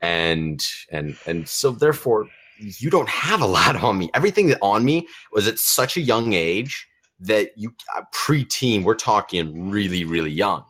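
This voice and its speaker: A low-pitched teenage boy who grew up speaking Romanian, calling in to a casual talk show. His delivery is lively and full of restarts, jumping from point to point. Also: unhurried, slightly rough. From United States and Romania. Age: teens. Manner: animated, restarts often, rambling. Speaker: caller. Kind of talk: casual chat on a call-in show.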